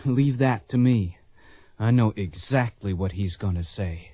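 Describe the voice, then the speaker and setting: An actor playing a determined young blind cowboy bent on revenge in a radio western, earnest and resolute, with an American accent. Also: male voice, on the low side, measured pace, heavy background noise, natural voice. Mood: scheming, calm. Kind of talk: radio western drama acting.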